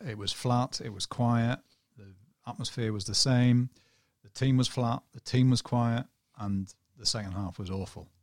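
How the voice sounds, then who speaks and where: A man who is a guest journalist, talking in a flat, monotone, self-deprecating way with many ums. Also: lowish voice, vocal fry, no echo, clean studio sound. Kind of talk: casual podcast chat.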